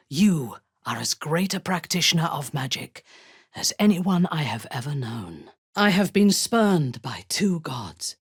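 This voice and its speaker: A woman playing a haughty, ruthless dark elf warrior in a fantasy video game, speaking in a measured, imperious, formal way with a breathy, husky voice. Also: low-pitched, dry recording, clean studio sound, heavily acted. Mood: solemn, sincere. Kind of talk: scripted video game character lines.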